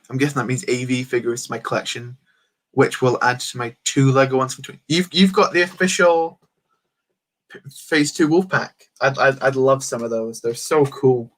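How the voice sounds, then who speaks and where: A man hosting a casual livestream, rambling and answering chat comments while he builds. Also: mid-range voice. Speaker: livestream host.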